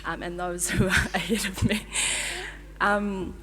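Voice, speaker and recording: A woman's voice: mid-range voice, little pitch movement, unhurried, fairly bright tone. A young woman giving a flustered, grateful ceremony speech, with many ums and nervous laughs. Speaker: medical graduate giving a speech. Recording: long hall reverb.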